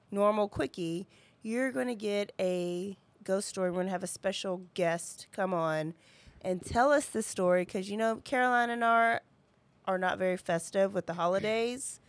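A woman's voice: mid-range voice, a little slow, dry recording. A woman co-hosting a podcast in casual, informal chat, addressing listeners in a folksy way. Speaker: podcast co-host.

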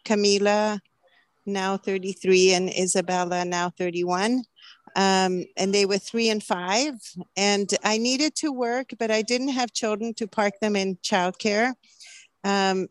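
A woman from Chile in her fifties, a flower farmer telling her story as an interview guest, chatty and quick to laugh. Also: medium pitch, dry recording. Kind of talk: casual interview.

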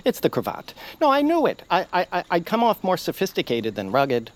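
Brief: a gentle, fussy, insecure radio hero actor, voice swooping wildly up and down. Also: male voice, high-pitched, huge pitch swings, a little fast. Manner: meek, self-deprecating, wavering. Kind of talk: audio drama acting.

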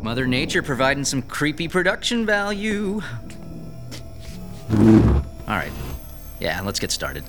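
A man's voice: medium pitch, sing-song, measured pace, long pauses, loud music behind the voice. A man playing a breezy, easily impressed cameraman in a radio horror drama, casual and eager in his talk. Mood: upbeat, casual.

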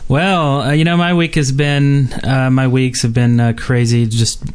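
A man's voice: medium pitch, little pitch movement, a little slow, clear, resonant tone. A man co-hosting a casual gaming podcast, rambling with lots of fillers and restarts. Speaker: podcast co-host.

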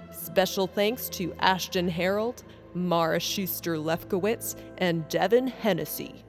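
A woman announcer giving a scripted credits read in a measured, formal manner. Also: medium pitch. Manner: measured, formal list reading. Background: music.

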